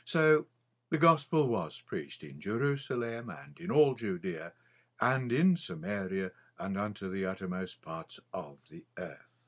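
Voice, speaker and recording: An older man reading his own scripted Bible commentary in a measured, deliberate manner with frequent pauses. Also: on the low side.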